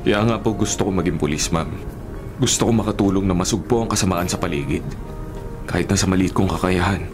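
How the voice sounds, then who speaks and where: An actor playing a serious, dutiful young bodyguard in a Filipino radio drama, speaking formally and respectfully with a Filipino accent. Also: male voice, deep voice, a little fast, music in the background, close to an everyday voice. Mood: earnest. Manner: respectful, restrained, earnest.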